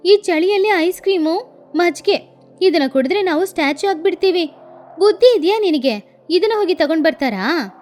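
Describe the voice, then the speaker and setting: Woman as a high-voiced, scolding cartoon sparrow lady. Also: animated, normal speed, a little mellow. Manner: questioning, scolding. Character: sensible, scolding female sparrow in birds' cartoon. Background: background music.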